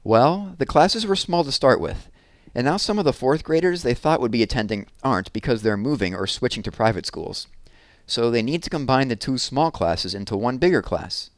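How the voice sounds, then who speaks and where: Man putting on concerned mother, gentle, explaining, higher voice, dry storybook read-aloud. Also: expressive, moderate pace, dry recording, natural voice.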